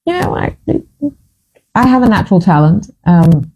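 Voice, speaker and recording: A woman's voice: lowish voice, lively intonation, moderate pace, darkish tone, a little hiss or hum, low-quality recording. A woman from Fiji in her fifties, hosting a casual chat and telling her stories in a chatty, dramatic, self-mocking way. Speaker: host.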